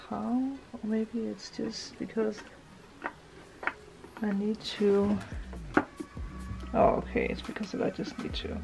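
A woman's voice: medium pitch, gravelly, mellow, slight background noise, poor audio. A woman chatting casually in a home renovation vlog, thinking aloud as she fits drawer knobs indoors. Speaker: vlogger. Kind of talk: casual DIY vlog talk.

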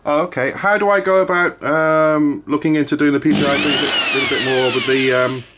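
A man's voice: fairly high pitch, wildly swooping pitch, fairly quick. A man making a casual, hesitant phone call as a caller. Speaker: prank caller. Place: indoors, kitchen worktop. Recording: loud power drill noise over voice. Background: loud electric drill, clicks.

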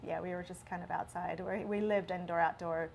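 A woman's voice: lowish voice, steady tone, fairly quick, vocal fry, darkish tone, slight background noise. A woman in her thirties with a New Zealand accent, a guest in a casual interview, friendly and chatty and laughing easily, on a lapel mic in a small indoor studio. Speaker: guest, ceramic artist.

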